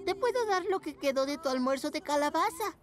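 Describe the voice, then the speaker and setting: A woman's voice: high voice, lively intonation, fairly quick, over music. A woman voicing a greedy, good-natured young hyena schoolboy in a children's cartoon, with a whiny, exaggerated childlike delivery. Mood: consoling.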